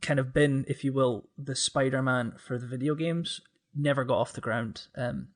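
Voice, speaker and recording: Male podcast guest, average pitch, conversational and informal. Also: fairly even intonation, moderate pace. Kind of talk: casual discussion.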